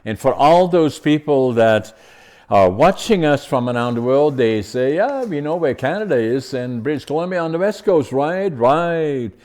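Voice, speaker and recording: A man's voice: mid-range voice, darkish tone. A man hosting an interview podcast, chatting casually and often restarting his questions as he goes. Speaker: podcast host.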